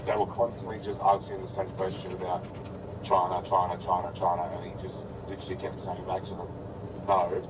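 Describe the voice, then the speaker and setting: Deep aussie guy chatting kinda haltingly on a stream, sounds far from the mic, lots of car noise. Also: flat delivery. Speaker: livestream co-host. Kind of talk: casual chat. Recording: distant voice, clear vehicle rumble.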